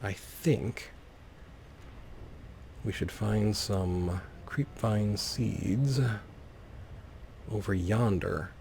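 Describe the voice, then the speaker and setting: A man with a lowish, creaky voice gives casual gaming commentary. He thinks aloud playfully and takes his time, with faint noise underneath. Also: a little mellow. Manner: unhurried, thinking aloud, playfully addresses objects. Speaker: gaming video host. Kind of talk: casual let's play commentary.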